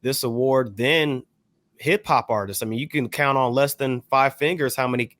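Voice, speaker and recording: A man's voice: mid-range voice, moderate pace, fairly bright tone, no echo, studio quality. A man talking casually in a panel debate, restarting phrases and often adding "you know". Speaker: panelist on a hip hop discussion show.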